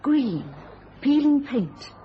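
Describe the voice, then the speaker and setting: A woman's voice: high voice, big pitch swings, very slow, muffled recording, slight background noise, heavily acted. A woman playing a cool, guarded and troubled wife in a radio thriller, well-spoken and restrained. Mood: haunted.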